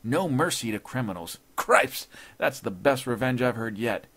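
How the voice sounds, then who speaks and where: Man acting a gruff, sneering escaped convict. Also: on the low side, moderate pace, a bit bright. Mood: gloating, jeering. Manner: rough slangy tough talk.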